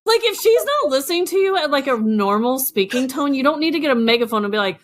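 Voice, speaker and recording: A woman with a slightly high voice, co-hosting a podcast. She banters casually and comically, slipping into imagined dialogue to act out her point. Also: fast talker. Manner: animated, acts out imagined dialogue. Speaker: podcast co-host.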